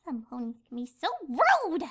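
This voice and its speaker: A woman voicing a goofy, excitable female cartoon pony with a chirpy, exaggerated delivery in a comedic reading. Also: sits high, moderate pace, music in the background. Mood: chatty, scatterbrained. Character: bubbly, goofy pink cartoon mare, scatterbrained and excitable. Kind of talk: acted comedic fan fiction reading.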